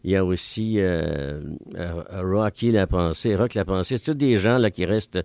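A man's voice: low voice, moderate pace, a little mellow, no room sound. An older Franco-Ontarian man with a Franco-Ontarian accent, chatting as a guest in a radio studio, rambling with many fillers. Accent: Franco-Ontarian French. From Ontario, Canada. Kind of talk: casual interview answers. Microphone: studio mic.

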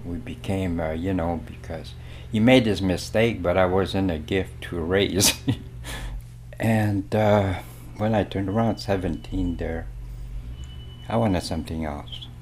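A man's voice: sits low, unhurried, darkish tone, slight background noise. A man in his seventies from Quebec, a native French speaker, telling his life story in a rambling way with small laughs. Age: 70s. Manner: rambling, laughs between phrases. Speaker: interviewee. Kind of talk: casual storytelling.

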